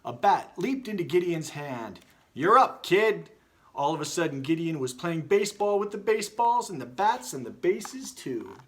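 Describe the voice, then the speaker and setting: A man with a somewhat high voice hosts a read-aloud. His delivery is animated and expressive, with an informal, conversational feel. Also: moderate pace, hardly any pauses. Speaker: story reader and host. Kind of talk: animated children's read-aloud, then casual chat.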